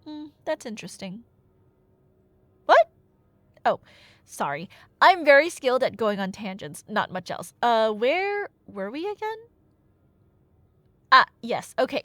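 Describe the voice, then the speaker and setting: A woman plays a chatty, nerdy girlfriend in an ASMR roleplay, telling stories with rambling enthusiasm. Her voice is fairly high and swings widely in pitch, with a playful bossy streak. The sound is very dry, with no room echo. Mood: sheepish, then eager storytelling. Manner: rambling, animated, tangent-prone, mock-indignant.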